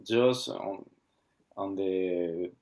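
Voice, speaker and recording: A man, speaking haltingly as he searches for words while being interviewed about founding his watch brand. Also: low-pitched, darkish tone, rough recording. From Argentina. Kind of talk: casual interview.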